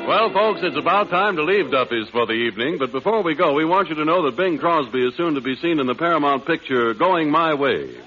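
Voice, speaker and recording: A man's voice: fairly high pitch, animated, brisk, hardly any pauses, sharp tone, soft background music. A man announcing and reading advertisements in 1940s radio style, with a polished, folksy sales delivery. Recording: muffled 1940s radio recording.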